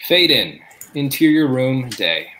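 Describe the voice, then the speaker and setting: A man's voice: medium pitch, big pitch swings, takes it slowly, sharp tone, a little hiss or hum. A man in his thirties reading aloud as the narrator of a table read, in scripted style. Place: room at home, indoors.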